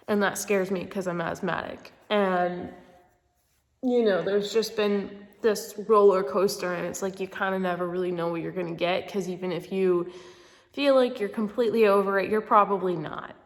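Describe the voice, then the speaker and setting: A woman's voice: medium pitch, long reverb tail. A young adult woman with a congested, stuffy-nosed voice, sick, chatting casually and in a rambling, informal way as a vlogger. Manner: informal, rambling, heavy use of "like". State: sick with a cold-like congestion and cough. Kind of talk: casual personal chat to camera.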